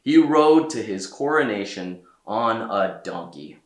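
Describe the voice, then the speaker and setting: Expressive low-voiced man reading to children. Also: measured pace, roomy sound. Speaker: storyteller reading a children's book aloud. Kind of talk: expressive read-aloud narration for children.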